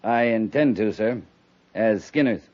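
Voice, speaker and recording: A man's voice: on the low side, measured pace, lightly acted. An American man playing a weary, dutiful cavalry captain, respectful but blunt, in a 1950s radio drama. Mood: dry, respectful. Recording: muffled, noisy 1950s radio recording.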